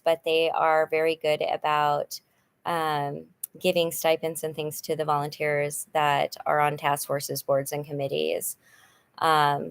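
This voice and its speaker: A woman's voice: deep voice, vocal fry, clear, resonant tone, dry recording. A middle-aged woman hosting a casual livestream chat, upbeat and chatty, with many fillers and restarts. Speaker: channel host, nonprofit volunteer. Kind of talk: casual livestream chat reading viewer comments.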